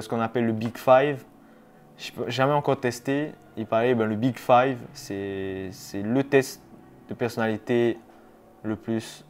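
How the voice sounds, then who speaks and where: A man in his twenties chatting casually to his viewers in a vlog, relaxed and rambling with frequent hesitations. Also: mid-range voice, smooth. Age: 20s. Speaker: YouTuber. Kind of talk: casual vlog talk to camera.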